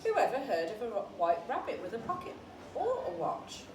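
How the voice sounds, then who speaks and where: A woman voicing a curious, confused young girl in a storybook, with a wondering, expressive manner. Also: fairly high pitch, moderate pace. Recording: roomy, distant mic with faint noise.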